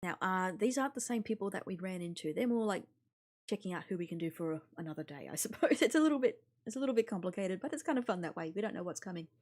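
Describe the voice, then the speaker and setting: A woman with a somewhat raspy, mid-pitched voice is streaming a visual novel. She reads the narration aloud with expression and drops in casual asides. Game music plays behind her voice. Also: expressive, quick pace, darkish tone. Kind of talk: let's play, reading game text aloud with casual asides.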